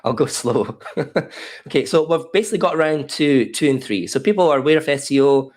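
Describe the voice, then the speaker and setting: A man in his fifties with an Australian accent presenting a live online workshop from his home office over a webcam call. He sounds a little croaky from a cold and talks in a loose, welcoming way with plenty of fillers. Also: on the high side, expressive, fairly quick. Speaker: webinar presenter. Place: home office in a desk chair. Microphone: webcam video call.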